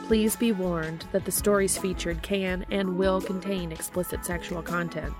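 A woman hosting a podcast, reading fan fiction aloud in a steady, even storytelling manner. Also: lowish voice, moderate pace, over music. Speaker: podcast host and reader.

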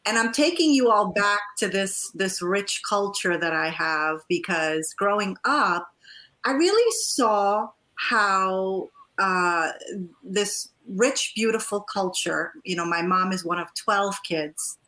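A woman's voice: mid-range voice, takes it slowly, sharp tone, low-quality recording. A woman from Trinidad who grew up partly in the US, talking as a coach and interview guest in an effusive, personal storytelling way. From born in Trinidad, moved to the US at about eight. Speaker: guest, life and divorce coach.

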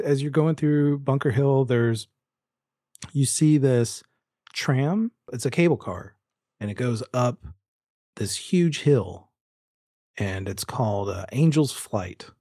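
A man's voice: medium pitch, dark tone, no room sound, studio quality. A man narrating a tabletop roleplaying game as game master, describing scenes and addressing players.

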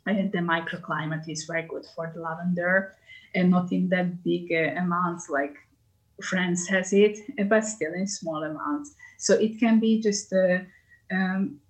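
Hungarian-accented woman, flat low voice. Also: unhurried, rough recording. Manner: many hesitation fillers, chatty. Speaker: tour guide. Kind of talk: interview answers, casual.

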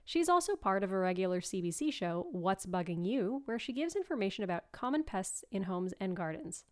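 A woman's voice: medium pitch, very animated, a little fast. Woman host reading a scripted intro, mid-range voice. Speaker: presenter introducing a guest.

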